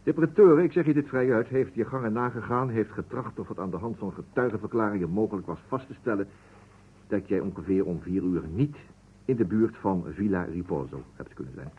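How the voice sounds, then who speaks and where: An actor playing a wry, genial investigator who muses and probes in a vintage Dutch radio drama. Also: male voice, on the low side, brisk, breathy edge. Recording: muffled, narrow-band old radio recording.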